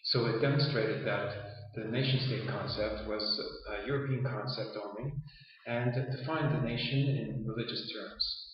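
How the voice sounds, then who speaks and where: A man whose first language is Yiddish, giving a measured interview answer with frequent hesitant pauses. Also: fairly low pitch. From Canada. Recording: roomy, distant, dull lo-fi sound.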